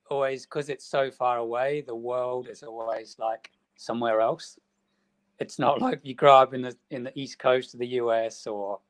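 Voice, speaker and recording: A man from Australia answers interview questions in an easygoing, reflective way. His voice sounds smooth and clear, at an ordinary pitch for a man. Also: poor audio. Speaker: author guest.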